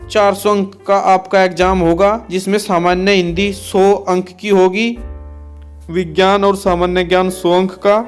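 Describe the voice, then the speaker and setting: A man giving a methodical informational explanation, restating points so they are clear. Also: high-pitched. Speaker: exam and recruitment explainer, host.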